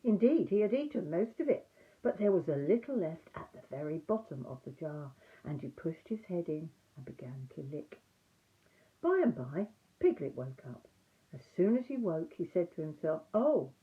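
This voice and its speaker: An older woman reading a children's story aloud in an expressive, emphatic storytime manner. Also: low voice, moderate pace, phone-quality sound. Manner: animated, emphatic reading for young listeners.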